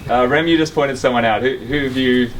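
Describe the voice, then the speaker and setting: A man's voice: medium pitch, a little fast. A man co-hosting a reality competition, bantering as he questions contestants. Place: outdoors in a backyard. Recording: noisy outdoor ambience.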